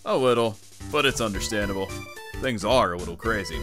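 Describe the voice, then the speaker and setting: A man's voice: fairly low pitch, fairly quick, lightly acted. A man voicing a blunt, earnest, easily exasperated young male echidna guardian in a cartoon fan dub. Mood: businesslike. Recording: music behind the voice.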